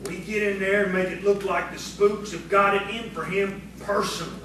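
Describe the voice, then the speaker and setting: A rustic prankster sidekick acted by a man in audio theatre, with a high voice and folksy country phrasing. He eggs on his boss. The sound is heavily reverberant, noisy and far from the mic. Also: steady tone, moderate pace, close to an everyday voice. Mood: conspiring. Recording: echoey, distant, noisy stage recording.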